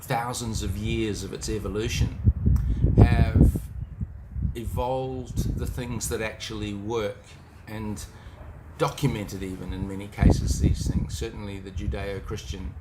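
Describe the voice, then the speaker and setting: A man's voice: lowish voice, flat delivery, measured pace, very noisy. A middle-aged man with a New Zealand accent giving an informal motivational talk, reflective and speaking straight to the listener.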